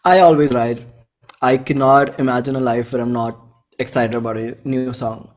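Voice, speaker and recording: A man's voice: medium pitch, fairly even intonation, normal speed. Young man chatting casually. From India. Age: 20s. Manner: informal, rambling, thinks aloud with frequent "like". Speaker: musician, interview guest. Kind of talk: casual interview chat.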